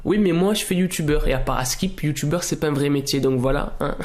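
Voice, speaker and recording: A man's voice: a little high, quick pace. A young man telling an internet mystery as a YouTube host, chatty and slangy, with playful asides to the viewer. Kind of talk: casual storytelling commentary on an internet mystery. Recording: roomy echo with clear background music. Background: music.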